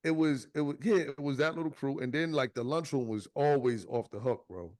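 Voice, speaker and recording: A man in his thirties hosts a podcast in an easygoing, profane way. He tells stories with lots of slang and gets lively as they build. His voice sits at an ordinary middle pitch. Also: fairly quick. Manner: slangy, profane, animated storytelling. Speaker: podcast host. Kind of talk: casual podcast chat.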